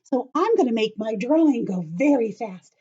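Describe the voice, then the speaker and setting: A woman teacher leading young children through a calming drawing activity, speaking gently and encouragingly straight to them. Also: a little high, normal speed, slightly rough. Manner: gentle, encouraging, talks directly to kids. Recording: dull lo-fi home video sound.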